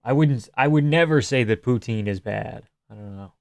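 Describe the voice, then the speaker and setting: A man's voice: mid-range voice, normal speed, gravelly, darkish tone, dry recording. A young man from Canada hosting a live stream, chatting casually and sarcastically as he reads out viewer comments and swears freely.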